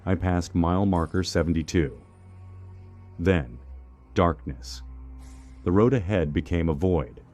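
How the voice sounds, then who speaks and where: A man narrating a scripted first-person horror story in a measured, suspenseful manner. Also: deep voice, darkish tone, soft background music.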